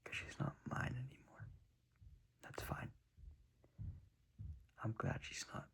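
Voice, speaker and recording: A man's voice: slow, a bit bright. A young man giving earnest, rambling advice in a whispery voice, circling back and repeating himself.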